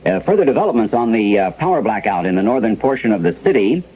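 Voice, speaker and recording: An actor playing a calm, businesslike radio newsroom anchor in a 1970s mock-newscast drama, reading hesitantly with pauses. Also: male voice, fairly low pitch, husky. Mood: measured, serious. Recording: dull, lo-fi old radio broadcast recording.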